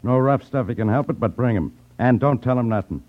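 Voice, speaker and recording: A man's voice: medium pitch, fairly quick, natural voice. An actor playing a blunt, menacing gangster henchman with curt tough-guy talk in a 1950s radio crime drama. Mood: bossy, menacing. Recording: tinny, narrow 1950s radio recording.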